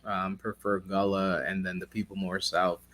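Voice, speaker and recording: A man's voice: deep voice, level tone, moderate pace, fairly bright tone, slight background noise. A young man, a guest in a casual interview, talking in a hesitant way with many fillers.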